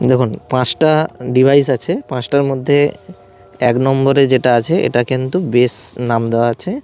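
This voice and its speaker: Mellow-voiced man explaining step by step. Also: medium pitch, little pitch movement, normal speed, smooth. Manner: step-by-step explaining in short phrases. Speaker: vlogger reviewing gear. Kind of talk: hands-on product demonstration.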